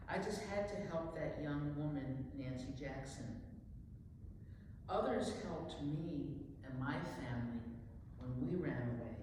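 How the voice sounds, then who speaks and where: Humble, resolute former slave played by a man, unhurried delivery, distant in a noisy hall. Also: on the high side. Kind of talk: dramatic poetry reading. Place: large indoor hall at a live event.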